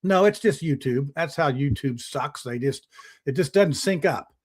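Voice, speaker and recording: A man's voice: on the high side, animated, normal speed, a little mellow, a little hiss or hum. A man hosting a casual panel talk show, rambling with many restarts and frequent chuckles. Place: outdoors in the sun.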